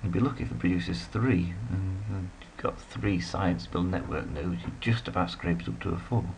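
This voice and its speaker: A man's voice: sits low, normal speed, creaky, darkish tone, slight background noise, rough recording. A man giving rambling, casual commentary while playing a strategy game, full of self-deprecating asides. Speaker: let's play commentator.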